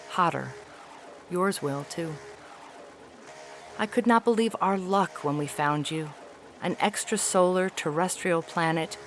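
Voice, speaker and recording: A woman voicing a haughty, weary feline alien ambassador in a science fiction audio story, speaking in a formal, measured, articulate way. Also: low-pitched, a little hiss or hum. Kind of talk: dramatic reading of an epistolary science fiction story.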